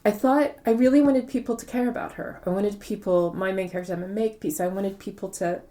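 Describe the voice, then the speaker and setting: A woman's voice: mid-range voice, steady tone, fast talker, warm tone. A woman speaking conversationally as an interviewed author, explaining things reflectively and repeating words for emphasis. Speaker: interviewed novelist.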